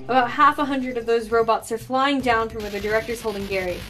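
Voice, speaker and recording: An assertive female lookout in an audio drama , played by a woman. Her voice is slightly high, brisk and commanding, with urgency, over loud music. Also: fairly even intonation, lightly acted. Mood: urgent.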